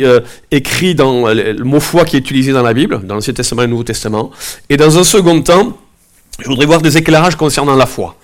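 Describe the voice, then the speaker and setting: A man with a head cold giving a relaxed, conversational sermon to his congregation, with many fillers and asides, also leading a prayer. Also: medium pitch. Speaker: preacher. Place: church gathering, also streamed online.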